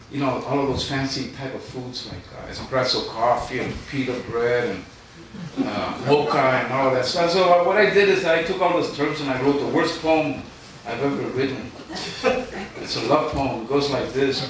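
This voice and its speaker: A man's voice: medium pitch, brisk, fairly bright tone. Male poet for live reading: informal, rhythmic, self-deprecating; far from the mic with background noise. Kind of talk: live poetry reading with casual introductions. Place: live event room in Chicago. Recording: distant mic, very echoey noisy room.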